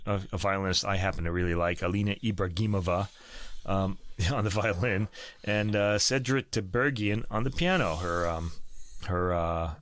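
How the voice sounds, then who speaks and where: A man's voice: low-pitched, fairly bright tone. A man hosting a podcast, chatting casually about classical recordings, rambling with many fillers and restarts. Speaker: podcast host.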